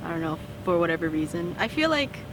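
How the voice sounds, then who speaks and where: A woman's voice: on the low side, very animated, fast. A young woman in her early twenties answering street interview questions tentatively, often ending on a question, outdoors on a city street. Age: early 20s. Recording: street traffic noise.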